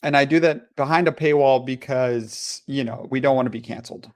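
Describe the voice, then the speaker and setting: Joking male co-host. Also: medium pitch. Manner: joking, quick interjections. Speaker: podcast co-host. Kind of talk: casual political chat.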